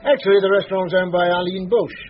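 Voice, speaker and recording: An actor playing a chatty, evasive restaurant owner in a radio drama, hesitant and insinuating, feigning innocence. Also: male voice, high voice, level tone, quick pace, muffled recording, soft background music, close to an everyday voice. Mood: casual, guarded. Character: evasive, chatty male Parisian restaurant owner with underworld acquaintances.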